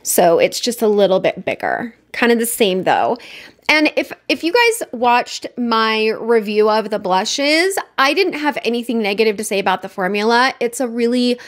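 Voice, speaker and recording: A woman's voice: on the high side, sing-song, normal speed. Woman with a nasal, congested cold voice. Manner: chatty, upbeat, talks straight to viewers. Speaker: beauty vlogger. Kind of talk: casual makeup review.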